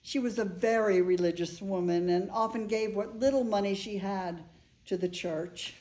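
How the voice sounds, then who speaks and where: A woman's voice: medium pitch, normal speed, warm tone, natural voice. A woman performing asides and chant in a staged play reading. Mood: measured. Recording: roomy, echoing live room recording.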